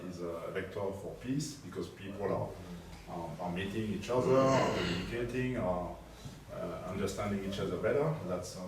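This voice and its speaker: A man with a French accent, a panelist at an aviation conference, speaking haltingly with many fillers. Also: lowish voice, slight background noise. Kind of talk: panel discussion. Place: large conference hall. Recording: echoey hall, distant mic, faint ambience.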